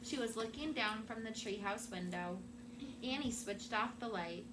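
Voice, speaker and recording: A woman teacher reading a children's story aloud in an expressive narrating style. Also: a little high, normal speed. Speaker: classroom teacher. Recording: clearly noisy room recording.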